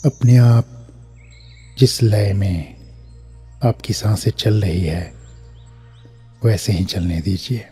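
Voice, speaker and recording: A man guiding a scripted meditation in a measured way, pausing between short phrases. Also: fairly low pitch, warm tone, soft background music. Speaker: meditation guide. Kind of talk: scripted guided meditation, instructional narration.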